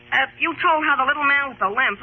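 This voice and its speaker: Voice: high-pitched, fast. A performer playing a puzzled, eager young boy with a hesitant, inquisitive manner in a radio drama. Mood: curious. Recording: muffled old radio broadcast with hiss.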